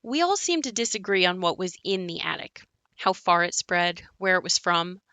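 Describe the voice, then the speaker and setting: Bright-voiced wry young woman played by an actress. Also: on the low side, sing-song, moderate pace, dry recording, heavily acted. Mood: wry, reflective. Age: young adult. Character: wry young woman recounting her haunted house. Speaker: narrator character in an audio play. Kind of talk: scripted dramatic monologue.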